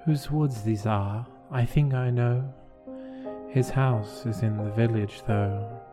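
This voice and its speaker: A man reading poetry as a podcast host, with measured, deliberate phrasing. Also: medium pitch. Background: music.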